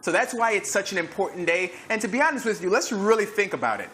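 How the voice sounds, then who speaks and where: An American man hosting a discussion, earnest and emphatic, slowing down to stress key points. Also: high-pitched, lively intonation, fairly bright tone. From United States, born to Nigerian parents. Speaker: fitness trainer and host. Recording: echoey room with faint ambient noise.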